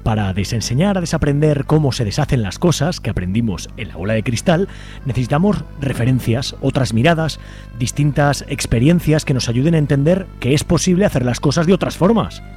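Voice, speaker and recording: A man's voice: medium pitch, expressive, a little fast, a little mellow, soft background music. A man with a Spanish accent from Spain co-hosting a radio show in a scripted presenting style. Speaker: radio co-host.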